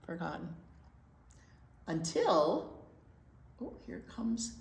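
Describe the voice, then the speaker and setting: A woman reading a picture book aloud to young children as a storytime teacher, expressive and emphatic, explaining things simply. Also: medium pitch, vocal fry. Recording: roomy echo with faint noise.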